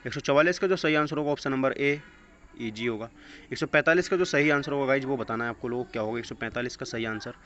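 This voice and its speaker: A man's voice: mid-range voice, quick pace, a bit bright. A man teaching a test-prep lesson, reading out questions and answers in an instructional way and mixing in English phrases. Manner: instructional, mixes English phrases into his speech. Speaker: exam coaching teacher. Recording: dull lo-fi audio with clear background noise.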